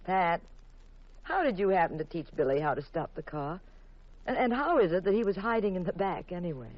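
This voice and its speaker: An actress playing a poised, witty detective's wife in 1950s radio drama style, on an old recording. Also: female voice, lowish voice, expressive, a little fast, lo-fi sound. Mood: curious. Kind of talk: 1950s radio acting, narration and dialogue. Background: music.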